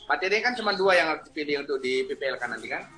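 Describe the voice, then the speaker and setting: A man's voice: fairly high pitch, expressive, racing, bright, forceful tone, rough recording. A man examining a candidate over a video call, friendly and questioning, with Javanese greetings mixed in. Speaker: examiner, lecturer. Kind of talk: online exam interview.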